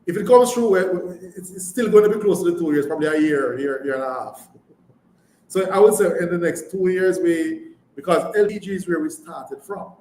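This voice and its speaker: A man's voice: high voice, animated, fairly quick, a little mellow. A Jamaican man answering as a chief executive on a live earnings call, emphatically repeating words and phrases. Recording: echoey room, background noise.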